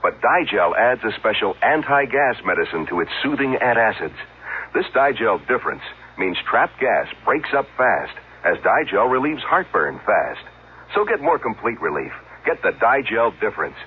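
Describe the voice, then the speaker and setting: A man's voice: fairly low pitch, very animated, normal speed, breathy edge. A man reading a scripted radio advertisement as an announcer, with an emphatic selling delivery. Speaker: commercial announcer. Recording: narrow-band vintage radio broadcast.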